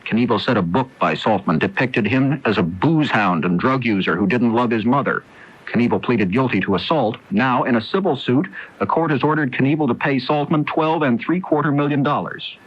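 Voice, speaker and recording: A man delivering a scripted radio news report as a correspondent, husky, on a dull AM recording. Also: mid-range voice.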